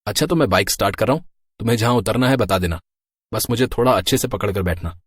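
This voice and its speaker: A man's voice: on the low side, quick pace, husky, no room sound, clean studio sound. A man playing a cocky, easily rattled biker in a horror story, talking colloquially. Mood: flirty, flustered. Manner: colloquial, stammers when flustered. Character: chatty, cocky married male biker, easily terrified. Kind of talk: horror story character dialogue.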